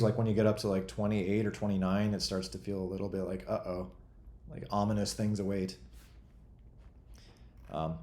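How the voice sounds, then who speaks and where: A man in his forties chatting casually as a host, rambling and thinking out loud in a self-deprecating way. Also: lowish voice, echoey room. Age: 40s. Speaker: host and game developer answering chat questions. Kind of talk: casual freeform chat.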